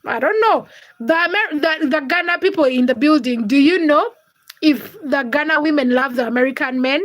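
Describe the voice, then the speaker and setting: High-voiced woman hosting a chatty livestream. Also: normal speed, poor audio. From Uganda and Kenya. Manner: chatty, teasing, addresses viewers by name. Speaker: livestream host. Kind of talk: casual livestream chat reading comments.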